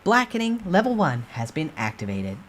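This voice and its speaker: Man as higher-pitched game system announcer. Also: wildly swooping pitch, moderate pace, a little hiss or hum. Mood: formal announcing. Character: impersonal game system announcer reading out skill notifications.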